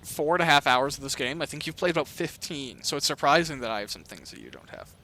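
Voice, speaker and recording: A man's voice: a little high, animated, quick pace, gravelly, bright, forceful tone, dry recording, low-quality recording. A man chatting casually and easygoingly as an interviewer while playing a video game, rambling a little as he goes. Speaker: interviewer hosting a cast interview.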